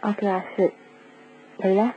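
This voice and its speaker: Loud music runs under a dull radio recording of a young woman in love, played by an actress. She is wistful when narrating and playful in dialogue. The whole is romantic radio drama with a mid-pitched female voice. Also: flat delivery, normal speed, natural voice. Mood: playful, teasing. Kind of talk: Cantonese radio drama acting with reflective narration.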